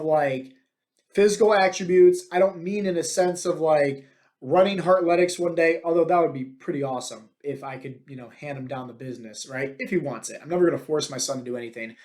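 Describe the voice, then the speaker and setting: A man in his thirties, a fitness coach hosting his own podcast, talking bluntly and with many fillers in a motivational monologue. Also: high-pitched. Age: 30s. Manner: energetic, filler-heavy, many "like" and "right?".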